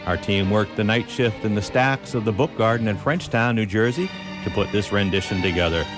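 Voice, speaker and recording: A man with a fairly low voice announces radio play credits. He reads from a script over clearly audible music. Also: a little fast.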